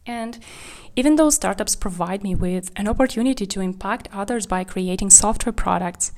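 Woman podcast host, from Kazakhstan, candid and reflective, medium pitch. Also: normal speed, a little mellow. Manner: candid, reflective, with small slips of grammar. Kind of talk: solo podcast monologue.

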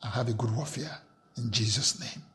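A man's voice: mid-range voice, moderate pace. A man preaching a sermon, reading scripture aloud with emphatic, rising delivery.

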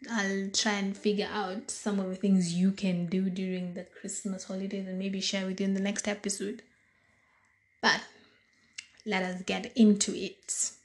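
A Kenyan woman in her thirties, a little hoarse, hosting a casual solo podcast and chatting slowly with many pauses and fillers. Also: mid-range voice, level tone. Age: 30s. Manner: slow, deliberate, chatty with frequent fillers. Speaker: podcast host.

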